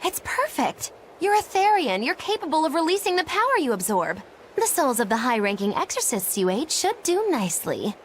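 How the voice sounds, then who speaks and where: A woman's voice: high voice, very animated, moderate pace, slight background noise. A woman playing a smug, flamboyant young witch in a fantasy video game, speaking dramatically. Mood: excited, dramatic. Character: theatrical, smug, gifted young female witch. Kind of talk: animated fantasy game acting.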